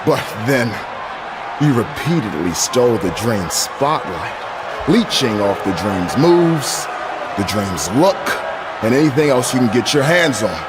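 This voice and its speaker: A man playing a vain, menacing pro wrestler who delivers a pompous, deliberate promo about himself in a video game. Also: medium pitch, big pitch swings. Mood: smug, menacing. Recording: arena crowd roar, heavy noise.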